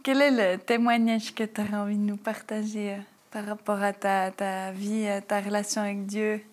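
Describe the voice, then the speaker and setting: A woman's voice: medium pitch, level tone, normal speed. A woman interviewing a churchgoer, asking questions in a casual, informal way.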